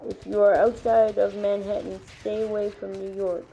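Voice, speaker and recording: A woman's voice playing a field news reporter in a scripted crisis report, serious, plain and measured, with faint background noise. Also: mid-range voice, flat delivery, gravelly, warm tone, highs cut off, lightly acted. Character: field news reporter trapped above a city in chaos.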